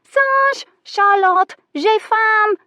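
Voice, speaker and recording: Woman doing a shrill squawking parrot, male, indignant, very high, shouting single words, dry close sound. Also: very slow, dry recording, exaggerated voice. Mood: shrieking.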